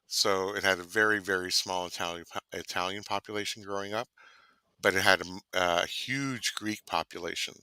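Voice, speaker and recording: A man's voice: deep voice, steady tone, moderate pace, sharp tone, clean tone, dry recording. A man from Canada co-hosting a casual online cooking chat, rambling and savoring his words. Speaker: co-host.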